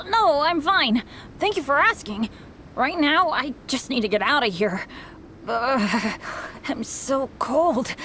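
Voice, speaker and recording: A woman's voice: high-pitched, fairly bright tone, a little hiss or hum, heavily acted. A woman playing an unlucky, good-natured boy adventurer in a video game, boyish, earnest and apologetic.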